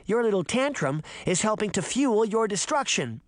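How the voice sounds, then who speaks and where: A man's voice: high voice, sing-song, normal speed, no echo, voice pushed up. A poised woman teacher, as a male narrator plays her, speaks in a raised voice. She is firm and admonishing, a storybook heroine standing her ground. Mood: firm warning.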